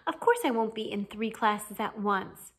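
A woman voicing a studious teenage schoolgirl, brisk, self-assured and impatient, in a storybook reading. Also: on the high side, wildly swooping pitch, fairly bright tone, full character voice. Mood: brisk, defensive.

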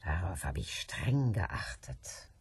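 Radio drama: worldly, restless rich older widow, hard-drinking, husky, very wide swings of pitch. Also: female voice, sing-song, slow pace, exaggerated voice. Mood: wry, weary. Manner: theatrical, worldly, swinging from murmurs to outbursts. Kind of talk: radio play acting.

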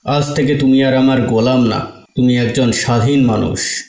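A man voicing a kindly, pious and generous master in an Islamic story cartoon, gentle and measured. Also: medium pitch, steady tone, natural voice. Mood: pleased, benevolent. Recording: echoey, reverberant room sound.

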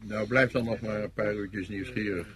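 An actor playing a sardonic, needling front-line soldier in a Dutch radio drama, dry and sarcastic. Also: male voice, fairly low pitch, steady tone, normal speed, a crowd in the background, lightly acted.

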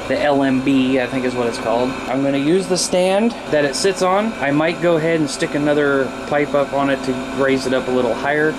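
A man's voice: fairly high pitch, noisy background. An American man in his thirties chatting informally and upbeat in a rambling hands-on video blog, recorded on a handheld camera in a garage workshop. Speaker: video blogger and CB radio hobbyist. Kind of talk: casual hands-on vlog chat. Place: cluttered indoor garage workshop. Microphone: handheld camera at arm's length.

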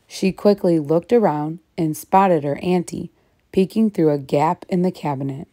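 A woman narrating a children's story as a read-aloud, deliberately stressing and stretching key words. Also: fairly low pitch, mellow. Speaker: storybook reader, teacher.

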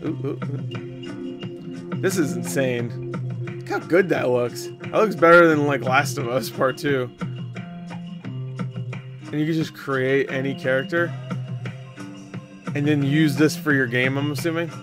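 A man's voice: medium pitch, slow, long pauses, over music. A young man giving casual reaction commentary, exclaiming, swearing and tossing in joking asides. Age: young adult. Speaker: reaction video host. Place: small indoor room at home.

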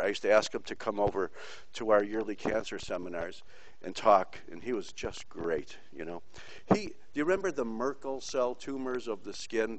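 A man's voice: lowish voice, low-quality recording. A middle-aged man giving an informal online pathology lecture, rambling through stories and speaking bluntly. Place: teaching from Puerto Rico.

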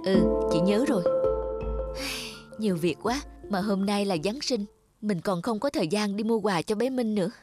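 Woman voicing a hurried mother. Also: mid-range voice. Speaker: busy working mother figure. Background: music.

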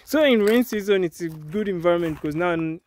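Male vlog host, high voice, inquisitive and informal, light outdoor farm noise behind. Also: a little fast, darkish tone. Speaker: vlogger host. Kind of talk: casual walking-tour chat. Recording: noisy outdoor farm recording.

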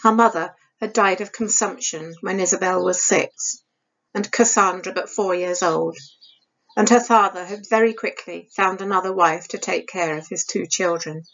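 An older woman, reading a novel aloud as expressive storybook narration. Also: fairly low pitch, moderate pace, highs cut off. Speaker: author reading her novel. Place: small room indoors.

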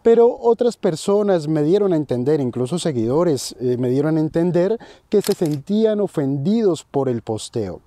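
A man's voice: fairly high pitch, dark tone. A man in his thirties from Colombia with a Colombian accent, earnestly explaining his views straight to the camera while outdoors by a lake. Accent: Colombian Spanish. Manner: earnest, explanatory, addresses viewers directly. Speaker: finance vlogger. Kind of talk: direct-to-camera commentary. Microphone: handheld camera close to face.

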